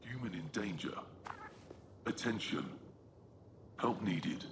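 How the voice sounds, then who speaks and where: A man voicing an alert robot that broadcasts a distress message in flat, repetitive announcements in a video game. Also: on the low side, slow pace, noisy background. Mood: flat alarm. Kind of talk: scripted video game acting.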